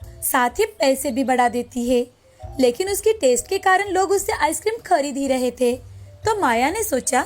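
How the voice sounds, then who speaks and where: High-voiced woman storyteller. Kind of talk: scripted narration of a moral story. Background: music.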